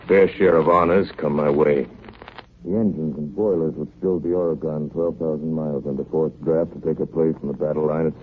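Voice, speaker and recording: Elderly navy chief engineer, low-voiced, plainspoken, looking back; vintage radio drama, heavy hiss. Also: male voice. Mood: reflective. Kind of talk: 1930s radio drama narration. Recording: muffled, noisy 1930s radio recording.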